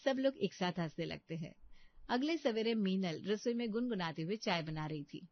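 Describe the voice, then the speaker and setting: A woman's voice: medium pitch, expressive, normal speed, dry recording, muffled recording. A woman narrating a scripted story as a storyteller.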